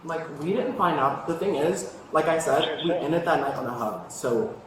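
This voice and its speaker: Young student, casual report, mid pitch; heavily echoing distant audio with voices around. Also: moderate pace, a crowd in the background. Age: young adult. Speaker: student reporting threats to police. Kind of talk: casual spoken account. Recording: very echoey, distant bodycam audio, other voices.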